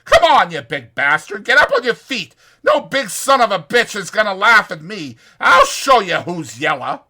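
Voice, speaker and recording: A man voicing a hot-tempered, cocky young man, shouting and snarling. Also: high voice, very animated, normal speed, pitched above the performer's own voice, full character voice. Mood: enraged. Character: hot-tempered young male ranch boss's son, cocky.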